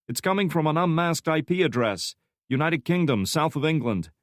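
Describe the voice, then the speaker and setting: A man's voice: on the high side, animated, normal speed, no room sound, clean studio sound, pitched above the performer's own voice, lightly acted. Man voicing a focused young analyst. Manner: intent, matter-of-fact.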